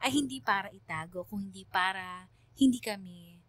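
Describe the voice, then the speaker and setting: A woman performs a quietly empathetic student narrator in a radio drama. Her medium-pitched voice is recorded very dry, and she tells the story in a measured, solemn manner. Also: dry recording, lightly acted. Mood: sombre, moved. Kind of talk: scripted first-person radio drama narration.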